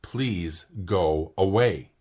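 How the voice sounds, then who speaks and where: A man's voice: low voice, very slow, a little mellow, full character voice. A man voicing a tired, dignified male owl knight in a storybook read-aloud, speaking in a weary, stiff and formal way.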